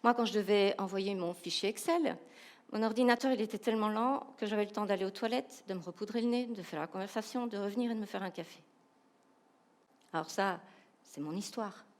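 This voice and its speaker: A woman with a Belgian French accent giving a humorous, animated keynote talk, asking the audience rhetorical questions. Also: mid-range voice, fairly quick. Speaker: keynote speaker, professional coach.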